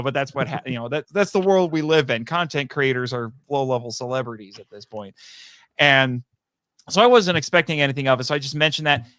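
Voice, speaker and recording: A man chatting casually as a podcast guest, telling anecdotes in a rambling, animated way and acting out bits of conversation. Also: medium pitch, dry recording. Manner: rambling, animated storytelling with acted-out quotes. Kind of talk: casual anecdotal interview chat.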